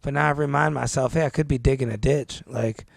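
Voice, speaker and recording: A man co-hosting a podcast, telling travel stories in a laid-back, animated way and calling his listener dude and bro. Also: mid-range voice, a little fast, gravelly, dry recording, studio quality. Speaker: podcast co-host. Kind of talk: casual chat. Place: New York.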